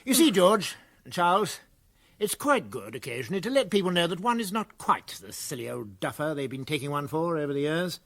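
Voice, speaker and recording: A man playing a well-spoken elderly English prison governor in a comic radio play, polite, understated and gently pompous. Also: fairly high pitch, sing-song, a little fast, creaky, heavily acted. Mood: quietly triumphant.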